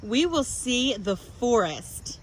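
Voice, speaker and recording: A woman hosting a children's storytime outdoors, telling things in simple, deliberate phrases for small children. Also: a little high, sing-song, rough recording. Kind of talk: storytelling and guided talk for young children. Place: outdoors in a public park. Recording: outdoor park with faint background noise.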